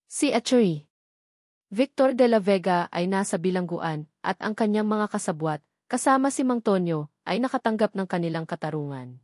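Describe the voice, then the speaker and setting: A woman reads a sentimental story as a narrator. Her delivery is earnest and measured, at a middling pitch. The sound is close and dry, with no room around it. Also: lively intonation, a little mellow, no echo.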